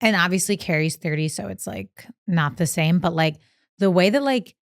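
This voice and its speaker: A young woman co-hosting a podcast, chatting casually and telling stories with quick opinions. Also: on the low side, gravelly, dry recording, clean studio sound. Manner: animated storyteller, quick opinions. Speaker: podcast co-host. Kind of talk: casual recap chat.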